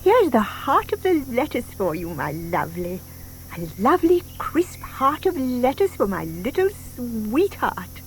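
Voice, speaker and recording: A woman's voice: a little high, sing-song, unhurried, slight background noise, low-quality recording. An actress playing a doting, sentimental older woman who coos over her pet in an audio drama, with gushing, emphatic delivery. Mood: adoring, cooing.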